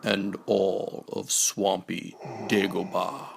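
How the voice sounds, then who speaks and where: A man narrating a bedtime storybook aloud in a hushed, measured, rhyming delivery. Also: deep voice, level tone, vocal fry, noisy background.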